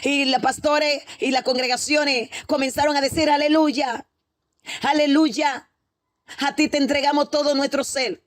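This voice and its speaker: A woman's voice: sits high, fairly even intonation, a little slow, dry recording, studio quality. A woman preacher recounting a prophetic vision in a fervent, repetitive Pentecostal storytelling style, breaking in with exclamations of praise. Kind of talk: Pentecostal testimony sermon, storytelling with interjected hallelujahs.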